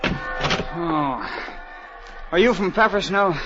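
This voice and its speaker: An actor playing a boozy, resentful young rich wastrel, slangy and blunt, in 1940s radio style. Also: male voice, high voice, animated, drawn-out words, over loud music, exaggerated voice. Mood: boozy, puzzled. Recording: noisy, dull old radio recording with clicks.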